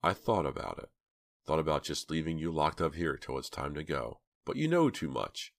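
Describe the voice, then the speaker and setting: A man voicing a big, cold, menacing male killer in a storybook reading, speaking low and slow. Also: big pitch swings, vocal fry, no echo, full character voice.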